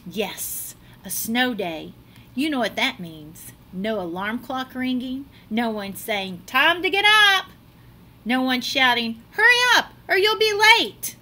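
A middle-aged woman reads a children's picture book aloud like a teacher, dramatically and expressively, drawing out words. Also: fairly high pitch. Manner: animated, dramatic delivery with drawn-out words. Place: small classroom, indoors.

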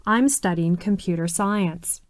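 A woman reading scripted self-introductions for a listening exercise, slowly and clearly spelling out letters. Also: on the low side, no echo. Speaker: recorded listening-exercise speaker. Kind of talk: scripted textbook dialogue.

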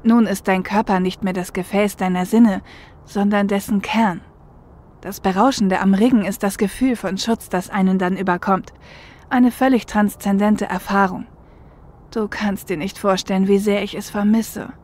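A woman playing a seasoned, protective female runner in an audio drama, with measured, matter-of-fact delivery. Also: medium pitch, steady tone, darkish tone, natural voice. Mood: dreamy, wistful. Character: seasoned female shadowrunner getaway driver, protective older sister.

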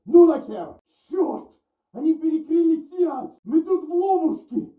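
An actor playing a panicking, shouting Russian soldier in a military shooter game, with a Russian accent and a radio-like filter. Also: male voice, very high voice, expressive, a little slow. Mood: panicked. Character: panicking Russian soldier trapped under attack. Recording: tinny narrow-band, distant, echoey.

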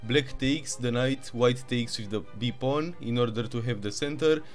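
A man with a Romanian accent narrating chess games move by move, with excited asides. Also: medium pitch, moderate pace, soft background music. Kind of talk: chess game commentary.

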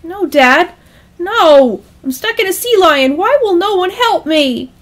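A woman's voice: very high-pitched, animated, slow, pitched above the performer's own voice, exaggerated voice. A woman voicing a nervous, pleading young man in a storybook tale, with a whiny manner. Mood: panicked whine. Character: panicked, pleading young man trapped inside sea lion. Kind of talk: acted storytelling dialogue.

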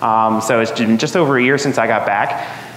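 A man in his thirties presents an academic seminar in a relaxed, conversational way, sprinkling his sentences with ums and uhs. His voice sits at an ordinary pitch and rings through a roomy hall with a long reverb. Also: normal speed. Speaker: guest seminar speaker. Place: lecture room. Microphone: room microphone. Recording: echoey hall, faint noise.

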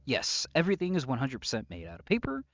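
A man playing an obsessive, unstable captor and lover in an intimate audio roleplay, halting and brooding, swinging between pleading and threat. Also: mid-range voice, wildly swooping pitch, no echo, highs cut off. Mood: controlled menace. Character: obsessive, unstable male yandere captor, menacingly tender lover.

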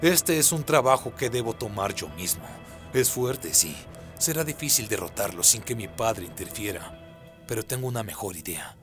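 A proud fallen angel acted by a man speaks in a low voice. He is sly and taunting, coaxing his prey as in a radio drama, over music. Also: lively intonation, normal speed, close to an everyday voice. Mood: scheming. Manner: taunting, persuasive.

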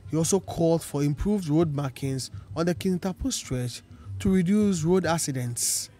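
A man reading a news report in a steady, formal voice-over. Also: fairly high pitch, moderate pace, soft background music.

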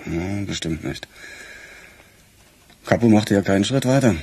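An actor playing a weary, grumbling police chief with a husky voice in a radio crime drama. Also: male voice, on the low side, a little slow, mellow, slight background noise. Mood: weary, subdued.

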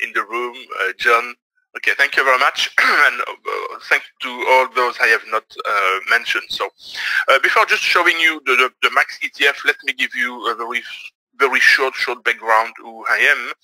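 A man's voice: mid-range voice, pushed and bright, no echo, rough recording. Belgian man presenting a trading webinar. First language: not English. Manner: hesitant, many fillers and restarts.

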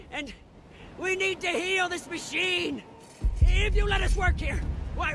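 An actor playing a fervent, servile mechanic zealot in a video game, pleading and grandiose with religious phrasing. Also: male voice, extremely high pitch, gravelly, heavily acted. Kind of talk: video game character acting.

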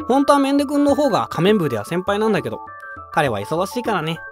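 A performer acting a meek, friendly teenage boy, a self-deprecating club vice-president with a gentle, apologetic manner, in an amateur voice drama. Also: mid-range voice, big pitch swings, quick pace, lightly acted. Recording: music under the voice.

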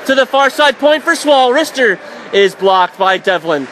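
A man with a high voice calls live hockey play-by-play for radio, tracking every pass and shot and lifting into shouts when the puck nears the net. A faint hiss of noise sits under his voice. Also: expressive. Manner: rapid, rising to shouts on shots and saves. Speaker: play-by-play announcer.

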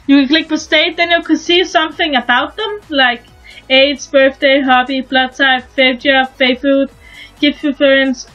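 A young woman from Denmark with a Danish accent, chatting casually and haltingly as she shows a game on her new channel, restarting her sentences often. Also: sits high, fairly bright tone, muffled recording. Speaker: gaming channel host. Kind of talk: casual gameplay commentary.

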